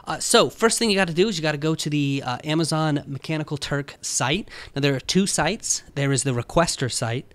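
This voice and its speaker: A man's voice: fairly high pitch, brisk, few pauses, a bit bright, no room sound. A man presenting a casual screen-share tutorial, talking informally through each step.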